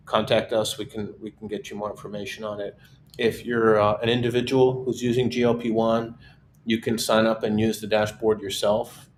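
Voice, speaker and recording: Male webinar host, low even delivery, relaxed and conversational, faint hum. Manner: relaxed, steady, conversational with repeated restarts. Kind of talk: casual webinar chat.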